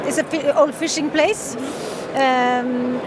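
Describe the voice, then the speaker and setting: A woman in her fifties from northern Norway with a Norwegian accent, a fiddle player talking in an interview, halting with many fillers and restarts. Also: high voice, steady tone. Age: 50s. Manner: hesitant, many fillers and restarts, laughs often. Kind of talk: casual interview. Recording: loud street noise behind.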